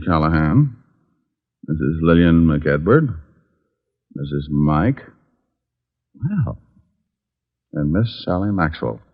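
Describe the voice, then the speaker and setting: Wisecracking low-voiced American private eye. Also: male voice, exaggerated voice. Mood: bossy, sardonic. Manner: glib, dry, sardonic. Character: wisecracking flirtatious male private detective, cocky and unflappable. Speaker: actor playing lead and narrator. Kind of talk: 1940s-50s radio detective acting. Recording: muffled old radio recording with music.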